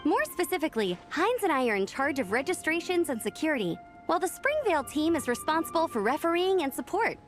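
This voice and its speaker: A chatty young knight. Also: female voice, high voice, big pitch swings, quick pace, hardly any pauses, soft background music. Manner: chatty and upbeat. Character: friendly young female knight organizer. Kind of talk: game voice acting.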